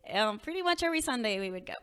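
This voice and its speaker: A woman from Puerto Rico, a podcast guest, telling personal stories in an animated, expressive way. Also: a little high, racing, clean tone. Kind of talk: casual conversational storytelling.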